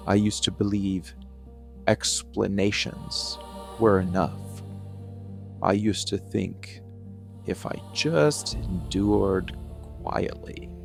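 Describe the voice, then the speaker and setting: A man playing a cold, embittered dark-fantasy villain in an ASMR monologue, speaking deliberately with heavy pauses. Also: fairly low pitch, gravelly. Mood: weary, resentful.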